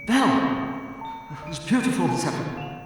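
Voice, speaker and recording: A man's voice: a little high, very animated, moderate pace. A man playing an old miser in an old-time radio play, exclaiming, stammering and repeating his words with strong feeling. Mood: wistful, regretful. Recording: echoey hall, distant, faint music.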